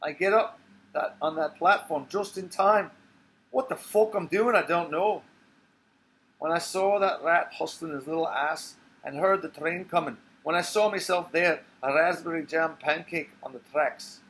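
A man's voice: fairly high pitch, normal speed, a bit bright, voice pushed up, low-quality recording. Stage play: bitter Irish barfly, read by a man, emphatic storyteller, agitated and coarse, faint noise behind. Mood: agitated, indignant. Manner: foul-mouthed, emphatic storytelling. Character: agitated, bitter Irish barfly recounting a near-death scrape.

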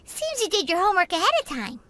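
A woman voicing a tiny, outspoken, inquisitive fairy companion girl in a video game, with a squeaky childlike voice. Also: sing-song, fast, fairly bright tone, exaggerated voice. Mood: indignant, questioning. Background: game music.

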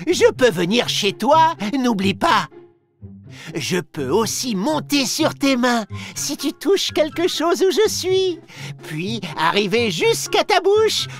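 Here is a boastful little cartoon virus, teasing children with theatrical glee. The delivery is unhurried and swings widely up and down, with a creaky edge. Soft music plays behind. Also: female voice, on the high side, heavily acted. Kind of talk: animated educational narration for children.

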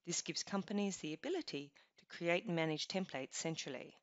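Low-voiced woman calmly narrating a software tutorial, dry and kinda lo-fi. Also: a little fast, no echo. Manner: even, instructional, step-by-step. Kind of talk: scripted screencast narration. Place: voice-over over screen recording. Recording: dull lo-fi voice-over.

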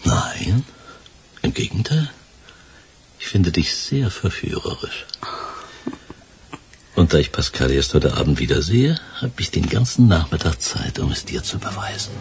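An actor playing a scheming crook and secret lover with a gravelly voice in a crime radio drama. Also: male voice, sits low, very animated, unhurried, long pauses, slight background noise, heavily acted. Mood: seductive. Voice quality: creaky, gravelly.

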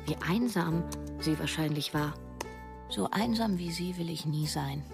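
An actress in her thirties playing a reflective writer who narrates a radio drama in a measured, literary way. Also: low-pitched, vocal fry, music in the background. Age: 30s. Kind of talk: scripted first-person radio drama narration.

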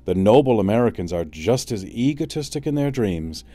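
A man reading a classic essay aloud as an audiobook, with a measured, solemn, drawn-out delivery. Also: fairly low pitch, animated, mellow, no room sound, soft background music. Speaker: audiobook reader.

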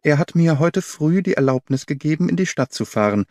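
Man reading as an earnest young governess, mellow. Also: on the high side, animated, fairly quick, no room sound, studio quality, lightly acted. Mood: earnest, grateful. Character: earnest young woman, a governess recounting her worries. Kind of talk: scripted audiobook character reading.

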